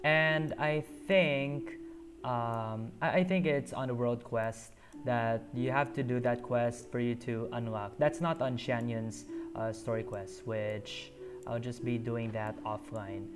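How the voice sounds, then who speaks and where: A man streaming a video game, chatting casually and haltingly with lots of ums and uhs. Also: medium pitch, fairly even intonation. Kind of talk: casual gameplay commentary. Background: game music.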